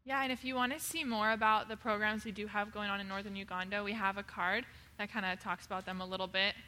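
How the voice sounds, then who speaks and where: A woman's voice: medium pitch, steady tone, quick pace, sharp tone, slight background noise. A young woman hosting an audience question session, upbeat and chatty with lots of fillers. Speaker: event host.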